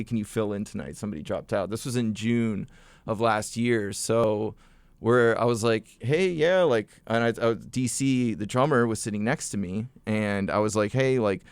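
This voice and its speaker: A young man talks loosely as a podcast guest, circling back through fillers and restarts. His voice sits fairly low and sounds smooth and clean. The recording is close and dry. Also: normal speed, dry recording. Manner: relaxed storyteller, many fillers and restarts. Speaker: podcast guest, musician and vocal coach. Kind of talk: casual podcast interview.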